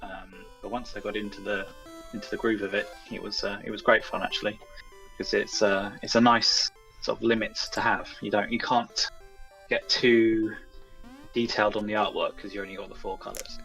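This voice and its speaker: Low-pitched man, music behind him. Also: flat delivery, normal speed, vocal fry, a bit bright, poor audio. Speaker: guest game developer. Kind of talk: casual interview chat.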